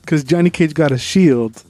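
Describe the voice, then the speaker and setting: Guy w a slightly high, mellow voice doing a loose movie review podcast, opinionated, swears, faint music behind. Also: no echo. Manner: informal, opinionated, swears freely. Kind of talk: casual movie review chat.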